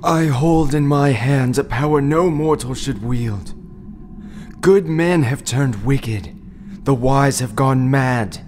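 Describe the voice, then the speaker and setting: An actor playing a grave, misshapen alchemist in a fairytale audio play, speaking solemnly and portentously. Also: male voice, mid-range voice, slow pace, darkish tone, a little hiss or hum, close to an everyday voice. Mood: ominous, solemn. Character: grave misshapen male alchemist, ominous messenger of truth. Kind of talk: fairytale audio drama acting.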